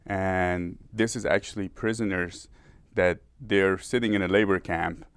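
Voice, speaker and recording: A man from Iran, with a deep voice, speaks as a CEO guest on a talk show. He talks earnestly and often trips over restarts. The sound is close and dry, with no room echo. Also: fairly even intonation, a little slow, clean tone. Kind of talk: interview talk.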